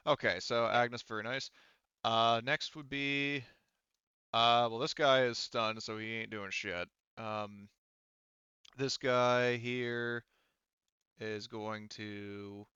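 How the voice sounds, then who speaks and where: Bright, clear-voiced man running a game. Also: on the low side, dry recording, highs cut off. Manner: informal, thinks aloud. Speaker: game master. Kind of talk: running a tabletop roleplaying game, casual and improvised.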